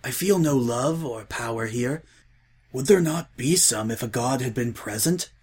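A man voicing a calm, doubtful male changeling who speaks softly and in measured terms, with a husky voice. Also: mid-range voice. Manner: soft-spoken, measured.

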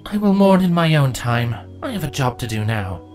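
A man voicing a dutiful female changeling queen in a storybook reading, raised in pitch, measured and formal. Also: very animated, darkish tone, faint music behind, close to an everyday voice. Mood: solemn.

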